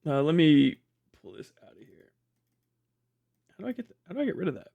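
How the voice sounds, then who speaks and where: Higher voiced guy livestreaming, argumentative and incredulous, swears a lot, dry close sound. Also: big pitch swings, brisk, vocal fry, no echo, phone-quality sound. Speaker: livestream host reviewing own debate. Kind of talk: casual livestream commentary with profanity.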